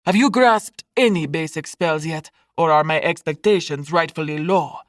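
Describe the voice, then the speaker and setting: A woman's voice: sits low, moderate pace, no room sound, studio quality, lightly acted. A woman voicing a stern, scornful middle-aged man with a Spanish accent in an audiobook, hollow and precisely enunciated. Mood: contemptuous.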